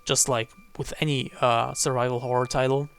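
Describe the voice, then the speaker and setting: A man's voice: medium pitch, level tone, normal speed, clear, resonant tone. A man giving casual livestream commentary on a game, rephrasing himself as he goes. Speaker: game streamer. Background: faint game music.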